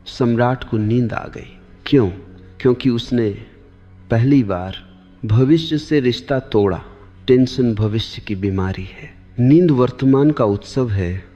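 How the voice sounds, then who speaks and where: A man giving a meditative spiritual discourse for sleep, deliberate, in short measured phrases that often repeat. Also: mid-range voice, warm tone. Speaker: spiritual teacher. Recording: long hall reverb, dull lo-fi sound. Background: clear background music.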